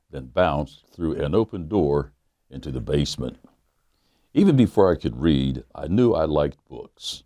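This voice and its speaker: A man who is a pastor, reading a children's picture book aloud to young listeners in a measured, earnest way. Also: extremely low pitch, dark tone, no echo.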